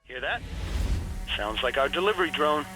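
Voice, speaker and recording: A man's voice: fairly high pitch, expressive, normal speed, music in the background, natural voice. An actor playing a joking male soldier who teases his teammate in a video game. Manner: joking, teasing. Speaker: game character, deminer.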